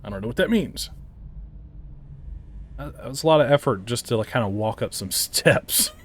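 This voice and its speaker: A man's voice: medium pitch, lively intonation, moderate pace. Man casually commentating on a game. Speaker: game reviewer and player.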